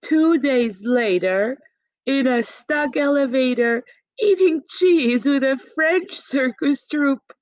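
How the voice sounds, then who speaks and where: Slow, expressive woman reading a picture book. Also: sits high, dry recording. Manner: dramatic pauses and emphasis, addressing a child listener. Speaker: storybook reader. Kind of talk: expressive children's picture book read-aloud. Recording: dull, muffled home recording.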